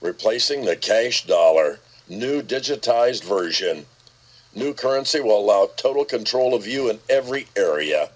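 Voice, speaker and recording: Husky low male voice, ominous radio monologue. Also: animated, normal speed. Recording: played through a radio speaker.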